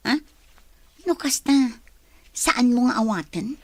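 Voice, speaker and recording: A woman's voice: on the high side, slow pace, no echo. A woman with an Ilocano accent playing an evasive, easily piqued young woman in a radio drama. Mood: coaxing.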